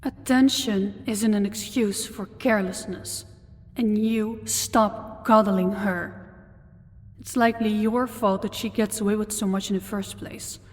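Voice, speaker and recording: A woman playing a commanding, possessive demon lord in an audio roleplay, speaking in a cold, deliberate, imperious manner. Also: mid-range voice, steady tone, a little mellow, natural voice. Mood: scolding, cold. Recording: long hall-like reverb.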